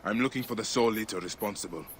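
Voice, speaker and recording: A man's voice: lowish voice, quick pace, slight background noise, natural voice. A man playing a grieving, vengeful Egyptian warrior in a video game, speaking in a grave, blunt, terse manner. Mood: grim resolve. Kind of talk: dramatic video game acting.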